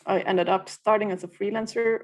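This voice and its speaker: A woman from Finland whose first language is Swedish, a freelancer guest on a podcast, speaking in a plain, practical way with frequent pauses. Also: medium pitch, fairly even intonation. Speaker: guest, freelancer community co-founder. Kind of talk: podcast interview.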